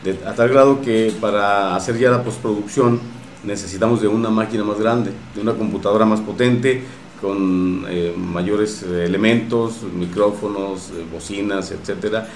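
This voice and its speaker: A man with a Mexican accent talking casually as an interview guest about his radio work, often halting to search for words. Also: lowish voice, steady tone. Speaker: radio drama producer and guest. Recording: roomy echo with faint noise.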